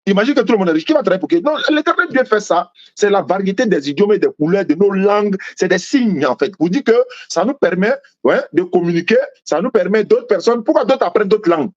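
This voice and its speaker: A man whose first language is Malinke argues his faith in a heated debate. He repeats himself and talks over the other side, his high voice rising and falling widely. The sound is muffled and lo-fi. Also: expressive, quick pace, hardly any pauses, a little mellow. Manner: insistent, repeats points, interrupts.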